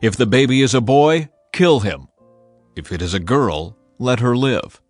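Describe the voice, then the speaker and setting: A man's voice: medium pitch, lively intonation, a little slow, dry recording. A man voicing a ruthless, commanding king of ancient Egypt in a dramatized scripture reading. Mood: cold command. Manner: commanding, demanding. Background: background music.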